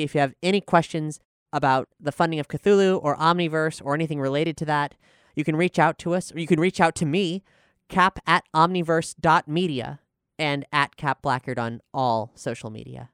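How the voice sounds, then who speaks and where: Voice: medium pitch, moderate pace, fairly bright tone, smooth, dry recording, studio quality. A podcast host and showrunner speaking earnestly and articulately while making announcements and talking about a production.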